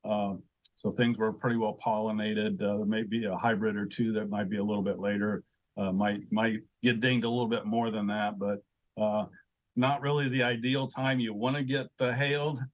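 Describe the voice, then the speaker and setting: Guy farm expert in an interview, lowish voice, kinda hesitant explaining, bit muffled. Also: flat delivery, normal speed. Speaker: agricultural extension expert interviewee. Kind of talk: informal field interview, explaining.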